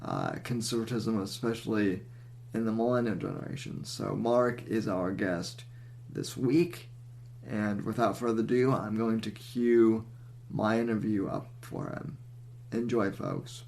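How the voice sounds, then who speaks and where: Slow, creaky-voiced male podcast host. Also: fairly low pitch, expressive, darkish tone, slight background noise. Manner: halting, with many fillers. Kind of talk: podcast interview hosting.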